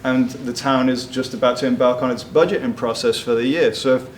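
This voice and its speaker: A man, a local select board member, making a measured civic comment from the audience. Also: mid-range voice, steady tone, a little hiss or hum. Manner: civic, measured, with some fillers.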